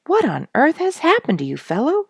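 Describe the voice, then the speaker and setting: A woman's voice: high voice, huge pitch swings, normal speed, gravelly, no room sound, studio quality, pitched above the performer's own voice, exaggerated voice. A woman voicing a jovial, knowing woodsman in a storybook, with a hearty, teasing, emphatic manner. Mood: alarmed. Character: jovial, knowledgeable woodsman, teasing his young friend.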